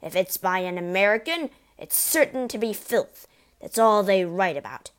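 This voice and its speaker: A woman's voice: medium pitch, big pitch swings, moderate pace. A woman voicing a bullying, middle-aged father who snaps and barks. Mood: scornful. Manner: snapping, barking, sneering.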